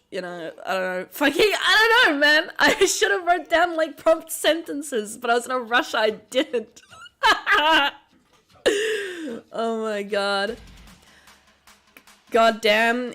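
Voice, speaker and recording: A woman's voice: fairly high pitch, pushed and bright. Chatty Australian woman streamer. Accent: casual Australian. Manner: chatty, laughs often, swears freely. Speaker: VTuber streamer. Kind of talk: casual livestream chat.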